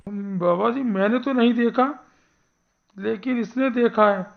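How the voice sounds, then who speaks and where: A woman's voice: fairly high pitch, unhurried, creaky. Dull-sounding woman as an anxious village headman. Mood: fearful, pleading. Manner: earnest, imploring. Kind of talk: animated horror story acting.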